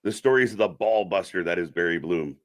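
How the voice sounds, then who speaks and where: Man, low voice, interview host, casual wrestling fan channel style, informal, repeats short affirmations. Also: animated, brisk.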